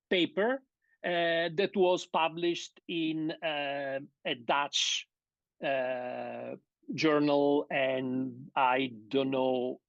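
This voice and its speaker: A middle-aged man from Sardinia with an Italian accent, giving an academic seminar over a video call in a hesitant, measured way. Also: on the high side, no room sound. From Sardinia, Italy. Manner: measured, hesitant, many fillers and restarts. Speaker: guest lecturer. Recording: dull, dry video-call audio.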